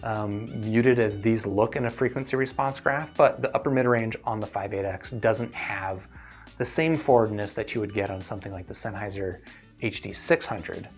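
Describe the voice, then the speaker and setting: A man's voice: fairly low pitch, normal speed, faint music behind. A man hosting a casual livestream question-and-answer session, explaining things in a thoughtful, hedging way. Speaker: host reviewing audio gear and answering viewer questions.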